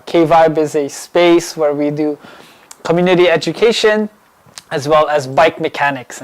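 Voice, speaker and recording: A man who grew up in Hawaii, welcoming people to a community bike shop, speaking in a halting way with many fillers. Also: fairly high pitch. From Hawaii, born in the Philippines. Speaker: program manager of a youth bike shop. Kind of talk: spoken welcome and introduction.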